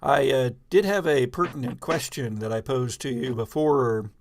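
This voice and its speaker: Man, medium pitch, gravelly edge; game master casually introducing and guiding a podcast. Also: moderate pace, slight background noise. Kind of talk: casual live podcast hosting.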